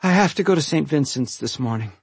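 A man's voice: mid-range voice, animated, normal speed, breathy edge, dry recording, voice pushed up. A man voicing a worn-out, exasperated mother who pleads with and scolds her sons in an audiobook story. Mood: weary, sad. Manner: pleading, sighing, snapping into yells.

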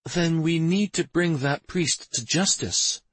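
A man voicing an angry, commanding prince bent on justice in a fantasy audiobook, speaking forcefully. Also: slow, no room sound. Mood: brooding, intense. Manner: forceful, commanding, low intense speech. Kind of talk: fantasy audiobook character dialogue.

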